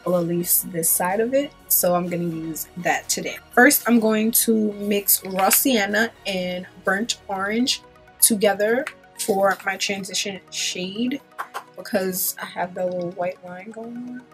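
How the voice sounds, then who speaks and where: A woman's voice: mid-range voice, a little slow, soft background music, low-quality recording. A young woman in her twenties with a stuffy cold, chatting casually through a makeup tutorial in a small room, loose and full of ums and likes. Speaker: beauty vlogger. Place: small room in front of a pink backdrop.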